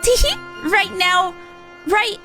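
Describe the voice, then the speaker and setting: A woman's voice: very high voice, animated, slow pace, bright, forceful tone, soft background music, voice pushed up, exaggerated voice. A woman voicing a mischievous little video game pixie in a playful, teasing, sing-song manner.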